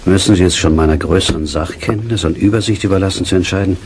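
A man's voice: sits low, fast talker, darkish tone, slight background noise, natural voice. A man acting a sympathetic, inquisitive army-theatre colleague in a radio drama, in a conversational manner. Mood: reading aloud. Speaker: actor in a radio crime drama.

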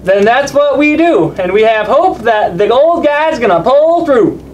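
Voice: medium pitch, very animated, measured pace, a little mellow, highs cut off, a little hiss or hum. A performer voicing a mild, good-natured dad puppet in a children's show, hesitant and folksy. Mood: patient.

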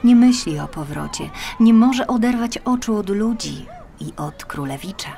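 A woman narrating a children's fairy tale audiobook expressively and dramatically, moving between hushed and swelling phrases. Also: mid-range voice, animated, moderate pace, gravelly, a little hiss or hum. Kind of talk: expressive scripted children's storytelling. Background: music.

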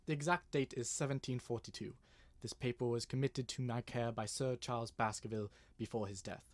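Guy playing a nervous formal gentleman in an old radio mystery, very earnest, medium pitch. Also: steady tone, fairly quick, lightly acted. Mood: uneasy, earnest. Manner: hesitant, formal. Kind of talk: 1930s radio mystery acting.

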